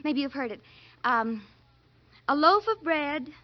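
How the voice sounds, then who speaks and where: An actress playing a naive, gushing, devoted young secretary in 1940s radio style, scatterbrained and earnest. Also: female voice, high voice, expressive, slow pace, no echo. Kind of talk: 1940s radio comedy-drama acting. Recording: dull, dry vintage radio recording.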